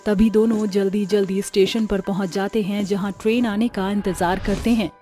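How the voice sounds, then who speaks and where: A woman's voice: medium pitch, fairly even intonation, moderate pace, dark tone, faint music behind. A woman narrating a scripted cartoon story as its storyteller.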